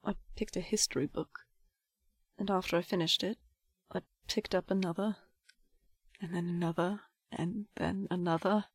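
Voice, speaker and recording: A woman's voice: fairly low pitch, steady tone, moderate pace, slightly rough, no room sound. A young woman playing a disillusioned, grieving teenage priestess in an audio drama, speaking in a formal, articulate way. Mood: weary, grieving. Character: disillusioned nineteen-year-old priestess, defiant, grieving, bitter.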